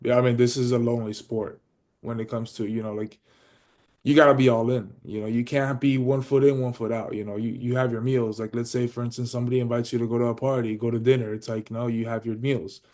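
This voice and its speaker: Guest read: man, mid-pitched, casual and profane, leans on fillers. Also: flat delivery, quick pace, warm tone. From Miami, United States. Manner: loose and profane, heavy on "you know" fillers. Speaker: podcast guest, pro bodybuilder. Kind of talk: casual podcast interview.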